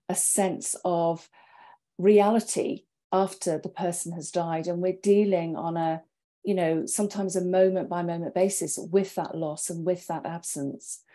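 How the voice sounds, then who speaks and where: Woman, middle-aged, soft dark timbre, lowish pitch, reflective panelist, video call. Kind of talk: reflective discussion. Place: home study, indoors. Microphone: wired earphones on a video call.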